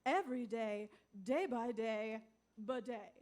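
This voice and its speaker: Pushy saleswoman, high voice, peppy, exclamatory, parody commercial pitch. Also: slow pace, darkish tone. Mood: peppy, salesy.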